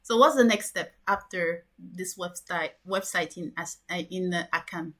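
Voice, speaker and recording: Bright woman host asking eager questions, says wow a lot. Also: on the low side. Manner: curious, enthusiastic, quick reactions. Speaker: podcast host. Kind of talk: interview.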